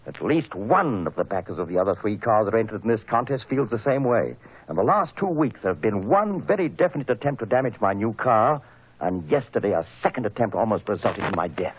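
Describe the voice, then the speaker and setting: Husky, animated wealthy inventor. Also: male voice, fairly low pitch, fairly quick, poor audio. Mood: proud, enthusiastic. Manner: emphatic, earnest. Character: wealthy, proud, enthusiastic male motor car inventor. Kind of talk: old-time radio drama acting.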